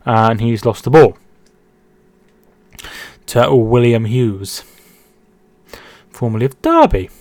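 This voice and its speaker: A man's voice: medium pitch, lively intonation, slow pace, pauses often, a little mellow, no room sound. A man hosting a casual football watch-along stream from home, reading out chat and calling the match. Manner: chatty, repeats words and restarts while reading chat.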